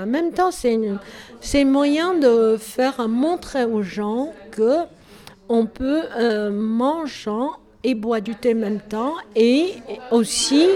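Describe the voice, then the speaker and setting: A woman from China speaking French as a second language with a Chinese accent, answering interview questions in a halting way and often correcting herself. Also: on the high side, a little mellow. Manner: halting, searching for words, self-correcting. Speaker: tea shop owner.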